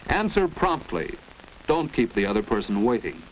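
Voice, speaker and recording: A man's voice: medium pitch, sing-song, moderate pace. A man with an American accent narrating a 1940s instructional film in a precise, didactic manner. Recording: noisy 1940s educational film soundtrack.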